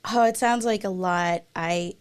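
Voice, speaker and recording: A woman's voice: on the low side, measured pace, clear, resonant tone. A woman in her thirties, a native Tagalog speaker, answering audience questions casually on a video call with lots of fillers. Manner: casual and chatty, many fillers and restarts. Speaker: guest speaker. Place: indoor room. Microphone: webcam video call.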